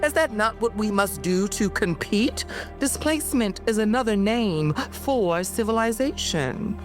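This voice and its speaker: A woman's voice: mid-range voice, expressive, unhurried, over music, pitched above the performer's own voice, close to an everyday voice. A woman performing a spoken-word poem in the voice of a falsely soothing colonizer, condescending and full of stumbling self-corrections. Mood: sneering.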